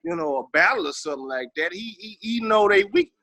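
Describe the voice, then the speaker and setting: A man's voice: high voice, expressive, brisk, pushed and bright, no echo, clean studio sound. A man with a Texas Southern accent talking casually in an interview, emphatic and full of slang and swearing. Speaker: interview guest, hip-hop veteran.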